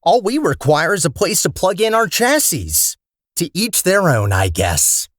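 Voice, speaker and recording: A man's voice: high voice, very animated, measured pace, fairly bright tone, no echo, studio quality, exaggerated voice. A man voicing a talkative robot core in a video game, a petty, scheming villain with a sarcastic, theatrical and condescending manner. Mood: condescending, chatty. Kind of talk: video game character acting.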